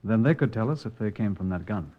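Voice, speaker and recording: An actor playing a keen, dogged junior detective in a 1950s radio crime drama, terse and matter-of-fact. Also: male voice, on the low side, expressive, fast talker. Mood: keen, determined. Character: keen, dogged male police subordinate detective. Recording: muffled old-time radio recording.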